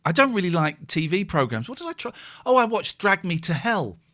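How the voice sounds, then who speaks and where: A man's voice: high-pitched, big pitch swings, a little fast, sharp tone. A man, hosting a casual live stream, rambling and self-mocking as he reads out viewer comments. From England. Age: 40s. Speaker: host.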